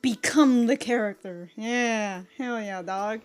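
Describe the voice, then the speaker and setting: A woman's voice: fairly high pitch, slow pace, soft background music. A woman giving casual, slangy reaction commentary as a video host, exclaiming and swearing freely.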